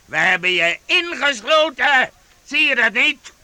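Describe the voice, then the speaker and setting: An actor playing a bold, taunting leader of vagabonds in a youth radio play, with a commanding, swaggering delivery. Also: male voice, very high-pitched, big pitch swings, unhurried, noisy background, heavily acted. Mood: defiant, taunting.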